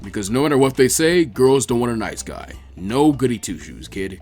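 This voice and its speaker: A man's voice: medium pitch, very animated, normal speed, darkish tone, soft background music, voice pushed down. A man voicing a laid-back, cocky, bearded ninja teacher who hands out dry, mock-sage advice in an anime story.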